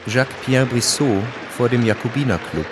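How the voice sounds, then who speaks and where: A man narrating a scripted radio drama in a measured, matter-of-fact way. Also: medium pitch, a crowd in the background, noisy background.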